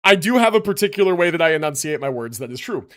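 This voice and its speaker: A man's voice: fairly high pitch, animated, fast talker, fairly bright tone. A young adult man streaming live, chatting casually and rambling as he tells a story, often tagging on "right?" and "like" and sometimes mushing his syllables.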